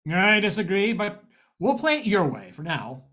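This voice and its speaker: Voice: mid-range voice, huge pitch swings, moderate pace, a bit bright. An older actor playing a confident, wry male private detective in an amateur radio mystery. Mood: businesslike. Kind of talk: amateur old-time radio mystery acting.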